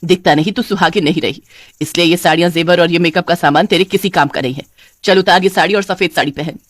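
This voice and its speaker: A woman's voice: fairly low pitch, quick pace, no room sound, clean studio sound, lightly acted. A woman voicing a cruel older mother-in-law in a cartoon story, harsh and taunting. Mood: scolding. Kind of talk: Hindi cartoon story voice acting.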